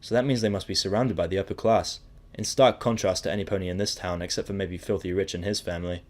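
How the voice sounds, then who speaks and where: Guy reading a first person audio drama, fairly low voice, scripted narration. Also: fairly even intonation, quick pace.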